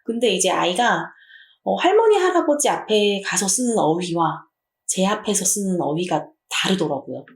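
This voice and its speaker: Animated woman teacher with a Jeju accent. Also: mid-range voice, normal speed, echoey room. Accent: Jeju Korean. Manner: chatty, animated anecdote telling.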